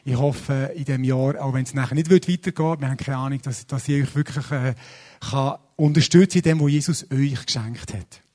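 A man in his forties with a Swiss German accent, preaching a sermon in a casual, lively, self-deprecating way with many fillers. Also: mid-range voice, fairly quick. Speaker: pastor. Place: church service.

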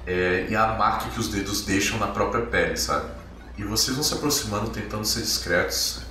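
A Brazilian man working as game master, improvising tabletop RPG narration in a halting, descriptive way with frequent tag questions. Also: on the low side, bright, forceful tone. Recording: very echoey room, distant, music behind. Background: music.

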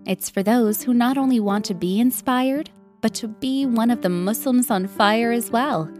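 A woman reading a scripted podcast intro and promo in a measured way. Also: on the high side, a little mellow, faint music behind. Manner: slow, measured read. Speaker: podcast announcer.

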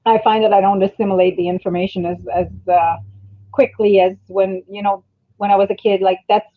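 A middle-aged woman chatting casually in an animated, storytelling way, with thin phone-like audio. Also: mid-range voice, a little fast, no echo. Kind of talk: casual chat.

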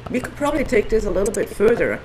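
A woman's voice: mid-range voice, big pitch swings, fast talker, background noise. A woman from Switzerland with a Swiss accent, telling stories earnestly in a casual interview, with restarts and small laughs. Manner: earnest storytelling with restarts and chuckles. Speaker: guest, community food organizer.

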